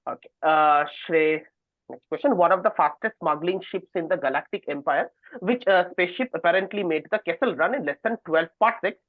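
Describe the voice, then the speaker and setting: A man with a noticeably high-pitched voice hosts a quiz over a narrow, phone-like call. The sound is dry, without room echo. He reads questions at length and moderates with informal asides. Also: brisk. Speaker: quiz host.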